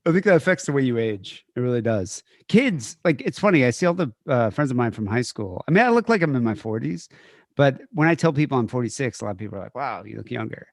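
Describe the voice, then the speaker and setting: A man's voice: medium pitch, big pitch swings, quick pace, gravelly, no echo, clean studio sound. A man in his forties, a podcast co-host, joking loosely and swearing as he sets up a livestream. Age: 40s. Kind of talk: casual banter before a livestream.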